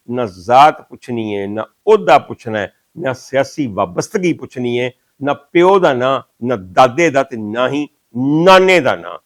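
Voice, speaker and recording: An older man from Pakistan with a Pakistani Urdu accent, hosting a talk show and reflecting on a poet, sometimes reciting Punjabi verses slowly and with emphasis. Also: medium pitch, dry recording. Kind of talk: reflective monologue.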